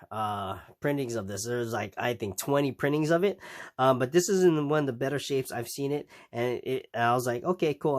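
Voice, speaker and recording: Stuffed-up nasal guy rambling about his haul, casual vlog, lots of ums and restarts. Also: mid-range voice. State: nasal from a recent cold. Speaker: comic collecting vlogger. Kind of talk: casual show-and-tell vlog.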